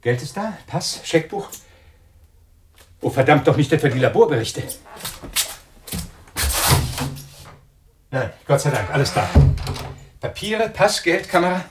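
Uneasy, irritable scientist over background noise. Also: male voice, a little high, big pitch swings, moderate pace, long pauses. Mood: puzzled, anxious. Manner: hesitant, agitated. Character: uneasy, irritable male scientist living alone with budgie. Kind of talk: German radio thriller acting.

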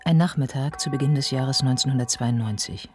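Radio play narrator: measured, sombre woman observing a writer's life, prominent music behind. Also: rumbling low, a little mellow. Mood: observant. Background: prominent instrumental music.

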